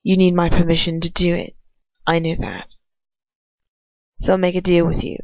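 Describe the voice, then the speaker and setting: A reader voicing a creaky, defiant boy wizard. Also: medium pitch, monotone, moderate pace, dry recording, dull audio, lightly acted. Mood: firm, quiet. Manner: firm, mocking, earnest. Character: defiant, determined teenage boy wizard hero.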